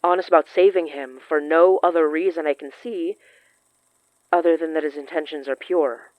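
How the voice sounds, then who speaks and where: A woman acting in an audio drama as a wary, thoughtful occult expert who explains things in an earnest, measured way. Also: low-pitched, steady tone, no echo, muffled recording, natural voice. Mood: earnest, cautious.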